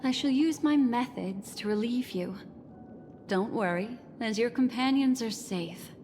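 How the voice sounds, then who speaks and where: A woman voicing a poised, enigmatic young memory keeper in a video game, with a measured, soothing and coy manner. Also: a little high, darkish tone, in a large hall, a little hiss or hum, natural voice.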